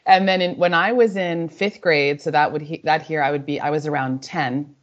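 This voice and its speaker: A woman in her forties, a native Spanish speaker, telling a guest's story reflectively and warmly, often restarting her sentences. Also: deep voice, fairly even intonation, moderate pace. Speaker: author and guest. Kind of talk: interview answers. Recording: slightly dull lo-fi audio.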